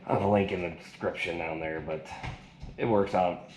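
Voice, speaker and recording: A man speaks in a very low register, reviewing a gadget in a loose, rambling, colloquial way. He is indoors, and the recording is roomy and echoing, with clear noise behind him. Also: fairly even intonation, moderate pace. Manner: rambling, colloquial, folksy slang. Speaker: product reviewer. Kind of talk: casual hands-on gadget review. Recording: echoey room with loud noise.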